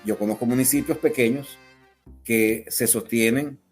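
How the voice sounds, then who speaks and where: A man's voice: medium pitch, steady tone, normal speed, faint music behind. A man with a Venezuelan accent, an analyst being interviewed, explaining his views with emphatic repetition. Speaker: political analyst, interview guest.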